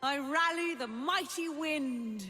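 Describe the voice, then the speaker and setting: A woman playing a calm, stoic, fearless wind goddess in a fantasy game, speaking in a lofty, deliberate way. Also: high voice, faint music behind, full character voice. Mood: stern, commanding. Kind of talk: scripted fantasy game voice lines.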